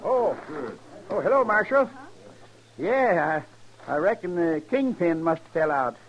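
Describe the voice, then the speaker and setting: An actor playing a mild, trouble-shy homesteader in a 1950s radio western, talking in a hesitant, folksy way. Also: male voice, sits high, lively intonation, a little slow, muffled recording. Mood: flustered, evasive.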